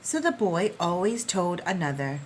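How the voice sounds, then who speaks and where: A woman reading a picture book aloud to young children as a preschool teacher, expressively, with deliberate, dramatic phrasing. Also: on the low side, big pitch swings, background noise.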